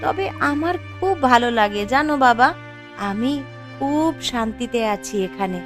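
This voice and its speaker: A woman voicing a poor, humble younger daughter in a cartoon, meek, soft and respectful toward her father. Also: a little high, expressive, unhurried, faint music behind. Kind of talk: Bengali cartoon voice acting.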